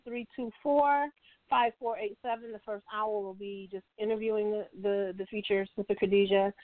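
A woman hosting an online radio interview in an informal, somewhat halting way, repeating words as she goes. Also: mid-range voice, dry recording. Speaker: host of online radio show. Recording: tinny phone-line internet radio.

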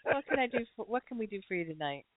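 A woman's voice: medium pitch, sing-song, rapid-fire, no room sound. Woman from miami, co-host of a psychic call-in show, chatty and welcoming, tinny phone-line sound. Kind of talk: radio call-in show hosting.

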